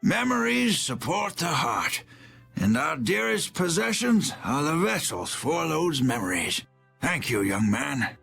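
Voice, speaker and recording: A man voicing a kindly, grateful elderly townsperson with a raspy voice in a video game. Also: fairly high pitch, big pitch swings, unhurried, pushed and bright, soft background music, exaggerated voice. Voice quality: raspy, creaky.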